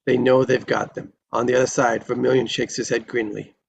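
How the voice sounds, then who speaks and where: A man's voice: medium pitch, level tone, fairly quick, gravelly, a little hiss or hum. A man reading screenplay stage directions in a dramatic narrating style at a table read.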